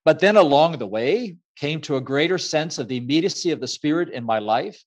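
A man who grew up in Ecuador, speaking as an author and guest in a measured, thoughtful way with many fillers. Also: a little high, lively intonation. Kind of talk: reflective interview answer.

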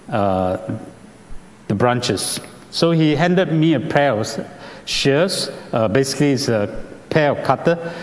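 Slow-speaking Singaporean preacher in a hall. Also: male voice, mid-range voice, a little mellow. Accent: Singaporean English. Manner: measured, explanatory, with "uh" fillers. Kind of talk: sermon.

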